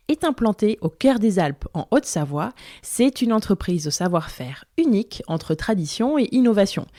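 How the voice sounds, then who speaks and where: Podcast host, woman, low-ish mellow voice, dry close sound, upbeat scripted read. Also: no echo.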